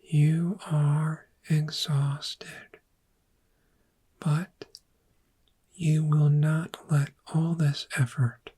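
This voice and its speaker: A very breathy, whispered voice, a woman acting as a calm dragon therapist in an ASMR roleplay. The delivery is extremely slow and deliberate, with a soft, mellow tone that gently soothes the listener through guided imagery. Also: low voice, exaggerated voice. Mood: soothing, encouraging.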